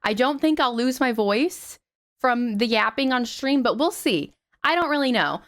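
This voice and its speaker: A young woman streaming and chatting casually with viewers, her voice slightly raspy after the flu, swearing freely and greeting people brightly. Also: on the high side, animated, normal speed. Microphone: headset.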